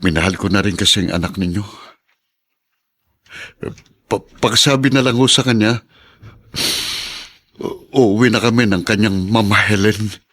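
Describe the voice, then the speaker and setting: Radio drama foster father: gentle working man, very low husky voice, halting, sorrowful pleading. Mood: tearful, resigned.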